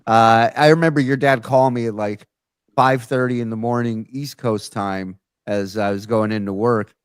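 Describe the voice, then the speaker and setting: A man's voice: medium pitch, steady tone, normal speed, clean tone, no echo. A man hosts a loose, conversational show. His voice sits in a middle register and is captured very dry, with little room around it. He tells stories bluntly and swears freely. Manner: profane, blunt. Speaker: talk show host. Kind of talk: casual conversational storytelling.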